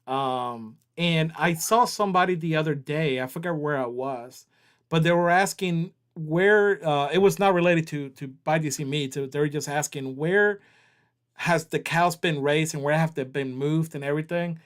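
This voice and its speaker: A man's voice: fairly high pitch, moderate pace, smooth. A man hosting a casual livestream, joking around and reading viewer chat aloud. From Southern US. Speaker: livestream host.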